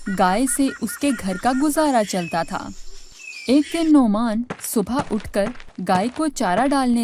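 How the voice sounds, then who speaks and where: A woman's voice: fairly high pitch, darkish tone, slight background noise. A woman reading scripted narration for a children's story.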